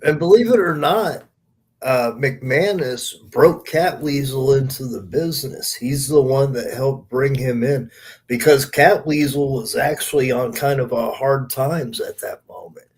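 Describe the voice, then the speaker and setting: Unhurried man chatting on a podcast. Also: mid-range voice. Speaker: podcast co-host. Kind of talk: casual chat, explaining wrestling history.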